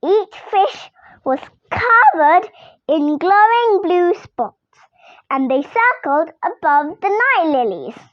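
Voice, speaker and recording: A young girl reads a children's story aloud with a very high voice and hugely lively intonation. She takes her time over each phrase like a storytime host. The recording is a little dull. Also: dry recording. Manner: expressive, deliberate storytelling with acted dialogue.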